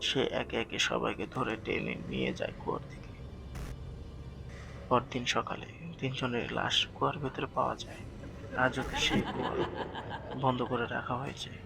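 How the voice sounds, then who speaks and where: A man's voice: mid-range voice, steady tone, normal speed, vocal fry, long pauses, rough recording. A man narrating a scripted horror story in a dramatic, suspenseful way as the storyteller.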